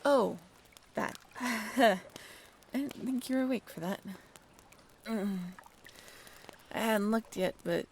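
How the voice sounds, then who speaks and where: Creaky-voiced young mermaid woman, played by an actress. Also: fairly high pitch, a little hiss or hum. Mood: evasive, reassuring. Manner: casual, halting, softly self-deprecating. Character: friendly young half-mermaid woman, wry and bashful. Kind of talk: intimate audio roleplay, one-sided conversation.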